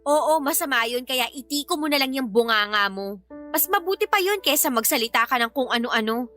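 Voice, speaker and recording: A Filipina actress playing a sharp-tongued, irritable young live-in girlfriend in an audio drama, scolding and dismissive. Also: high-pitched, expressive, fairly quick, soft background music. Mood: indignant, scolding. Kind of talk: Filipino radio-style drama acting.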